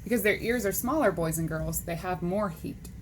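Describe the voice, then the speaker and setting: A woman's voice: on the low side, moderate pace. A woman reading a children's book aloud, speaking to young listeners in a question-and-answer manner, on a noisy recording.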